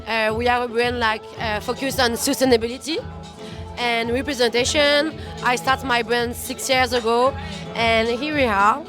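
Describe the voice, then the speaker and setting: A woman's voice: fairly high pitch, steady tone, moderate pace. A woman from France, introducing a brand in an interview as its owner. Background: music at a pop-up event.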